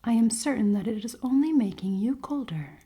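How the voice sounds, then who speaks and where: A woman playing a cold-hearted, commanding elven queen in a fantasy ASMR roleplay, soft and often whispering, with formal, teasing speech. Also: on the high side, expressive, a little fast, slight background noise, natural voice. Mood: commanding, caring. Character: cold-hearted, dominant elven queen, haughty, secretly caring.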